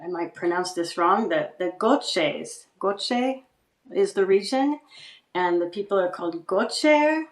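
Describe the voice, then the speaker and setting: A woman guest speaking reflectively about her writing and family history, often pausing and restarting. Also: mid-range voice, animated, reverberant. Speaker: guest writer. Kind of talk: casual interview chat.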